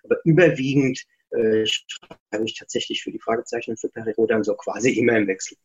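A man's voice: medium pitch, steady tone, fast, highs cut off. A middle-aged man talking as an author guest in a casual interview, chatty, reflective and self-deprecating.

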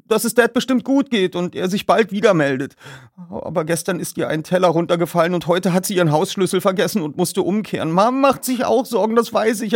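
A man voicing a sad, anxious young boy from a detective storybook, with a thin voice and a halting, upset delivery. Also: high voice, creaky, no room sound, clean studio sound, pitched above the performer's own voice.

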